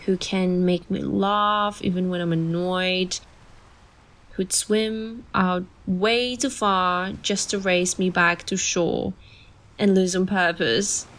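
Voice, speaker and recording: A woman's voice: mid-range voice, takes it slowly, a bit bright. A woman playing a playful, teasing young woman in a romantic summer audio drama.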